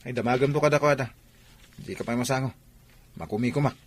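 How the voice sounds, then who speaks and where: This is a grumbling, guarded boss played by a man in a radio drama. His voice sits at a middle pitch and carries an Ilocano accent. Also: quick pace, close to an everyday voice. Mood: irritated.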